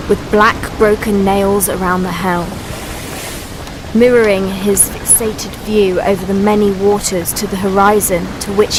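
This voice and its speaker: A woman plays the Sea itself, narrating a radio drama. She is grave and brooding, speaking slowly in measured poetic phrases at a medium pitch, with faint watery ambience behind her. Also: background noise, lightly acted. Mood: grave, vivid.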